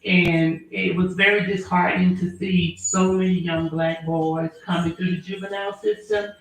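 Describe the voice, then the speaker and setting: A woman attorney, answering interview questions in an earnest, measured way with frequent fillers. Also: fairly low pitch, level tone. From Alabama, US. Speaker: attorney and former prosecutor. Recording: very echoey room.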